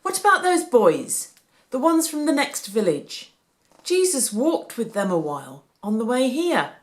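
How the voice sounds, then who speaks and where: A woman's voice: fairly high pitch, very animated, a little slow, pitched above the performer's own voice. A woman reading a storybook, voicing an earnest, worried father who is searching for his son. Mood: urgent.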